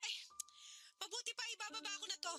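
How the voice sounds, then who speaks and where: A woman's voice: very high voice, fast talker, over loud music. A woman playing a selfish, defensive pregnant mother in a Filipino radio drama, with a whiny, exasperated, dismissive delivery. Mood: impatient, dismissive.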